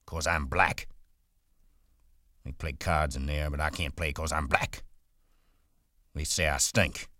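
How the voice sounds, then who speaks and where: A man's voice: a bass voice, steady tone, slow, pauses often, pushed and bright, exaggerated voice. A man voicing a proud, bitter, aloof stable hand who speaks sharply and defensively. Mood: hostile.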